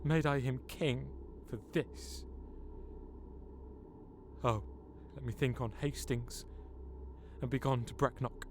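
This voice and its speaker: Calculating duke, Shakespearean audio drama, formal measured speech, mid pitch, faint background noise. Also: male voice, fairly even intonation. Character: proud, calculating duke, grows resentful.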